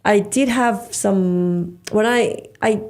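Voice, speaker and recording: A woman's voice: mid-range voice, slow pace, a little mellow. A woman, a Cantonese speaker, chatting as a podcast guest with lots of fillers and restarts. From Hong Kong. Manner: hesitant, trailing restarts and many fillers. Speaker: guest, stand-up comedian. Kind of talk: casual conversation.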